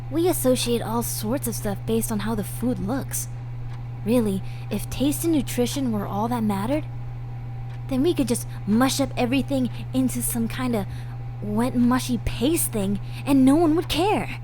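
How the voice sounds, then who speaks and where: Sweet, slow-talking monster girl, acted by a woman. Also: on the high side, warm tone, a little hiss or hum, lightly acted, rough recording. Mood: earnest, musing. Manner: chatty, rambling, bashful, hesitant in places. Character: sweet, eager, scatterbrained female monster girl who bakes. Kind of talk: roleplay audio drama, speaking to a listener.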